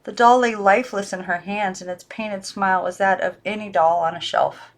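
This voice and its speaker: Woman reader, mid-pitched voice, calm storybook narration, fixes small slips as she goes. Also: moderate pace. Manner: calm, even narration with small self-corrections. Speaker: audiobook reader. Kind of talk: reading a children's novel aloud.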